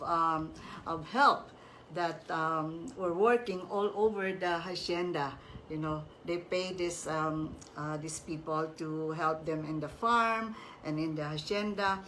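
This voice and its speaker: A woman's voice: on the low side, a bit bright, slight background noise, low-quality recording. A woman from the Philippines hosting a folktale reading, storytelling with casual, conversational explanatory asides.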